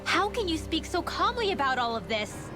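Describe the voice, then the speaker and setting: A woman's voice: extremely high pitch, big pitch swings, moderate pace. An actress voicing an earnest, emotional young woman in a game. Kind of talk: video game voice acting. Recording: game audio with music.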